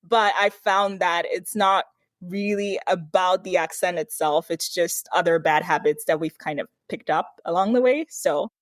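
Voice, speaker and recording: A woman from Nigeria, a brand consultant guest, giving measured, reflective explanations in a casual interview. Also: on the low side, no room sound.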